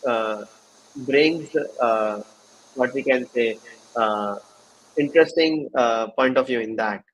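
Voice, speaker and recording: A male host in a relaxed, conversational interview. He speaks at a medium pitch and feels his way through each sentence, pausing often on filler words as he builds his point. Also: slight background noise, low-quality recording. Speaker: host of a developer livestream session. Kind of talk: casual interview chat.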